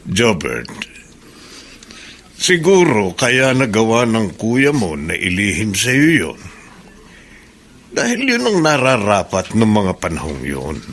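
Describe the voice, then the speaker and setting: An actor playing a concerned, reassuring older mentor in a Filipino radio drama, speaking in a measured, counseling way. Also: male voice, lowish voice, a bit bright, slightly rough, heavily acted.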